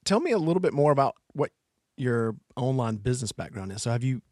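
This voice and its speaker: A male podcast host with a medium-pitched voice, recorded close and very dry. He interviews in an easy conversational style, putting questions to a guest. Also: sing-song, fast, a little mellow, no echo.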